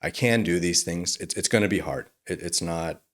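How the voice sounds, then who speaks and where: A man's voice: low-pitched, normal speed, a bit bright. A young man who is a guest in a casual interview, speaking earnestly and reflectively and laughing easily. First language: English. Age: young adult. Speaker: attorney guest.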